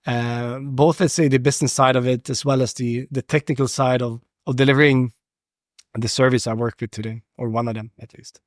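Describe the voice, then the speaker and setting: This is a man from Sweden in casual interview conversation. He builds his sentences piece by piece, pausing and repeating words as he goes. His voice sits at a middling pitch, and the studio sound is very dry and close. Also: no echo. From Stockholm, Sweden. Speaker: podcast guest, agency founder. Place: podcast studio.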